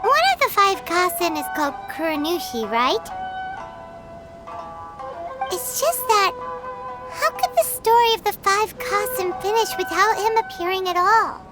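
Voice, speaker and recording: A tiny game fairy with an extremely high, squeaky voice. She is blunt and exclamatory, taking her time over words. Music plays behind her. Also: lively intonation, measured pace, pauses often, low-quality recording. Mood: puzzled, indignant. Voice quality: squeaky, childlike. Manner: exclamatory, speaks of herself in third person. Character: small childlike female fairy companion, excitable and blunt. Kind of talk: video game voice acting.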